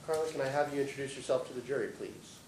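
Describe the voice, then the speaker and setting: Attorney questioning a witness in court. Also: male voice, medium pitch. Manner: polite, halting, with many fillers. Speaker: trial attorney. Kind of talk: direct examination of a witness. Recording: distant, roomy courtroom audio with noise.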